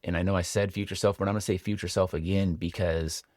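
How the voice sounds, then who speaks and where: Man, American accent, deep voice, dry close recording, financial advisor host, measured explanatory delivery, reflective. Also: fairly even intonation, darkish tone, no room sound. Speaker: financial advisor hosting a retirement planning show. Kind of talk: explanatory advice talk to camera.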